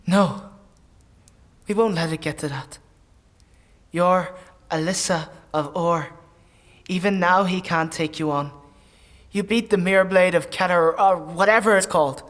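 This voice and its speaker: An actor playing a warrior's anxious, loyal companion in a dark fantasy audio drama, speaking with halting, urgent earnestness. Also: male voice, high-pitched. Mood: pleading, reassuring.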